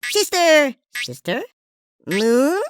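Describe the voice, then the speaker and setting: A storyteller voicing tiny, excitable magical pony clones with a squeaky, childlike, chanting manner. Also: very slow, a little hiss or hum. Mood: wonder, bold.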